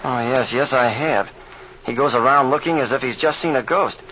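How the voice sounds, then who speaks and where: Man acting an earnest, bright-voiced young radio-drama pilot. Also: medium pitch, moderate pace, close to an everyday voice. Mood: earnest concern. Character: earnest young male pilot, eager and deferential sidekick. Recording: muffled, hissy old radio recording.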